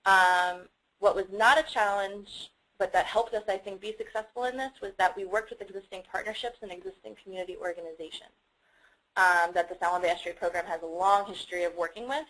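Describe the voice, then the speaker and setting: A woman's voice: mid-range voice, a little fast, a bit bright, low-quality recording. A woman speaking as a webinar panelist, giving conversational explanations with frequent hesitant ums. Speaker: panelist, climate workshop facilitator.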